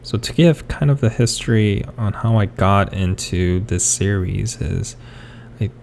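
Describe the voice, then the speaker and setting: Young man from South Korea, Korean native speaker, for a rambling speed-paint voiceover: unhurried, with a mellow, darker tone. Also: lowish voice, gravelly, a little hiss or hum. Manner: hesitant, full of fillers and restarts. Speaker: artist.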